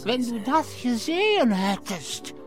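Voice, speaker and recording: Slow, wheedling tavern drunkard. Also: male voice, very high-pitched, big pitch swings, over music, heavily acted. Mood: grateful, maudlin. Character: pleading, grateful drunkard in a tavern, easily frightened. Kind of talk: German radio drama acting.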